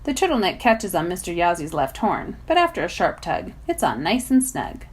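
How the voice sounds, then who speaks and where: Expressive woman reading a children's novel. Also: fairly low pitch, normal speed, husky. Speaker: volunteer storybook reader.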